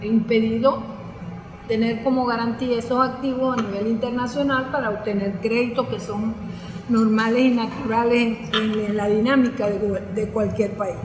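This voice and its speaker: Female Venezuelan panel speaker, unhurried and deliberate, word-searching delivery, long hall reverb with faint noise. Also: a little high, steady tone. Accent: Venezuelan Spanish. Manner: halting, deliberate, searching for words. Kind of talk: panel speech.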